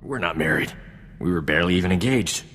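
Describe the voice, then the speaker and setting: An actor playing a cocky, flirtatious, boastful rogue doctor in a sci-fi video game, glib and smooth-talking. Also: male voice, low-pitched, animated, fast, a bit bright, in a large hall, soft background music, exaggerated voice. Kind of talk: video game dialogue acting.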